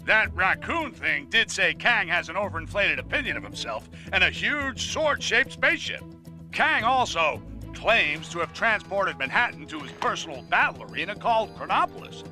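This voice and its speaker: An actor playing a cranky, blustering middle-aged newspaper boss ranting a bulletin in a cartoon game, brash and indignant. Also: male voice, sits high, sing-song, normal speed, bright, forceful tone, faint music behind, exaggerated voice. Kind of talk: cartoon game rant delivered as news bulletin.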